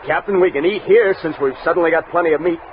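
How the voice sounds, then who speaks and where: An actor playing a hearty frontier army sergeant in a 1960s radio western. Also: male voice, a little high, quick pace, highs cut off, close to an everyday voice. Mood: eager. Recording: noisy vintage 1960s radio recording.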